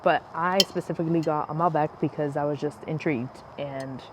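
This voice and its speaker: A woman's voice: deep voice, fairly even intonation, fairly quick. A woman from the United States whose first language was Spanish, hosting a casual wine podcast in a chatty way, with many "like"s and "um"s. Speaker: podcast host. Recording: faint background noise, passing airplane.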